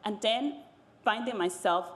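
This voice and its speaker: A woman from the Dominican Republic, a native Spanish speaker, telling her own story on a panel in a measured, pausing way. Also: medium pitch. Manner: measured, pausing, searching for words.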